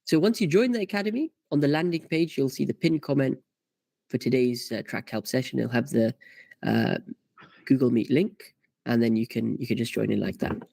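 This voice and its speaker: A man's voice: medium pitch, normal speed, gravelly, dark tone, no echo. A man hosting a live karting coaching stream, chatty and full of fillers as he reads out comments. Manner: chatty, many fillers and restarts.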